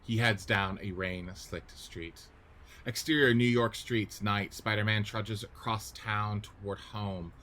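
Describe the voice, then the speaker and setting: A man's voice: lowish voice, steady tone, slow pace, sharp tone. A man narrating stage directions in a scripted radio play reading.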